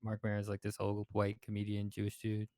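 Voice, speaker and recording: A man's voice: lowish voice, completely flat delivery, fairly quick, warm tone, no echo. A man in his twenties hosting a casual podcast chat, rambling with lots of fillers and swearing. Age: 20s. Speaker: podcast host.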